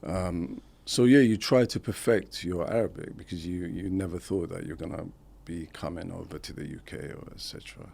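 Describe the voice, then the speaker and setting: A man in his forties speaks as a podcast guest, his voice very low and gravelly. He recalls his past in an unhurried, reflective way. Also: a little mellow. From born in Syria to Iraqi parents, raised in England. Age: 40s. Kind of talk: casual interview chat.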